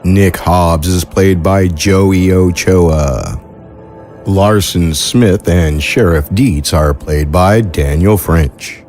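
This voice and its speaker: A man's voice: low-pitched, very slow, darkish tone, soft background music. A man announcing audio drama credits with playful, folksy showmanship.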